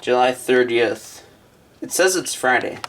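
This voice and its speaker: Slow-paced man with noisy handling behind him. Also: mid-range voice, animated. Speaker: vlogger unboxing a gadget. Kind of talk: casual unboxing chat. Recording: clear background noise, crinkling and clicks. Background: handling noise, crumpling and clicks.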